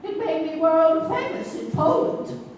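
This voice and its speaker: A woman's voice: very high voice, expressive, unhurried, a little mellow. A woman playing a veteran stage actress, an amused and theatrical storyteller reminiscing in an interview. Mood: amused, boastful. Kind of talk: 1960s interview reminiscence, live radio-theatre acting. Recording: echoey, noisy stage recording from far off.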